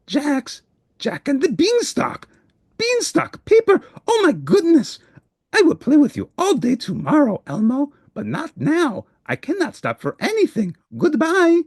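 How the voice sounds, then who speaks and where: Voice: sits high, huge pitch swings, measured pace, a little mellow, no echo, studio quality, voice pushed up, heavily acted. A performer voicing an eager, anxious, polite furry monster for a storybook, with formal, dramatic exclamations. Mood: excited. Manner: exclaiming, formal, dramatic wording without contractions. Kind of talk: storybook character voice.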